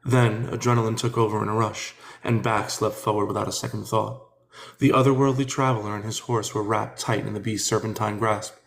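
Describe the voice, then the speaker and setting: A man reading a fantasy audiobook in a measured, expressive storytelling manner. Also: lowish voice, gravelly. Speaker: narrator. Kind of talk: dramatic fantasy audiobook narration.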